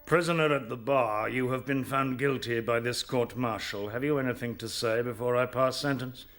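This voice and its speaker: A man's voice: mid-range voice, moderate pace, fairly bright tone, faint music behind, close to an everyday voice. A man playing a merciless rabbit general in a radio drama, commanding, formal and severe. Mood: cold, stern.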